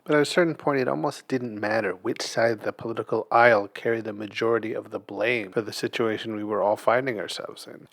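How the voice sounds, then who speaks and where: A man's voice: on the low side, creaky, dry recording. A man hosting a commentary show, reading scripted opinion in an earnest, emphatic manner.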